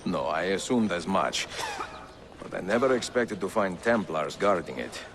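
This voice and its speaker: An actor playing a composed, dry-witted Italian master assassin and mentor, with an Italian accent and a measured, courteous manner, in a video game. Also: male voice, deep voice, animated, noisy background. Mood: reflective, serious. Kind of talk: video game character acting. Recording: loud music behind the voice.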